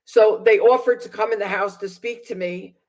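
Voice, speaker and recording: A woman's voice: mid-range voice, steady tone, moderate pace, a little mellow. A woman in her sixties giving personal testimony to viewers in an aggrieved, emphatic manner, repeating phrases for stress. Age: 60s. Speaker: vlogger recounting personal experience.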